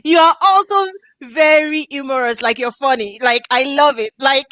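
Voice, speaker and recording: A woman's voice: sits high, moderate pace, fairly bright tone, no echo. A middle-aged woman from Nigeria hosting a video call interview on a headset, bubbly and laughing often. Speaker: host. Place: home office with diplomas.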